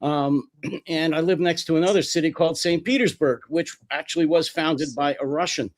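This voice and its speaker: A man from New York, a guest on an online panel, talking in a halting, self-deprecating way and clearing his throat often. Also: on the high side. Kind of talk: casual discussion.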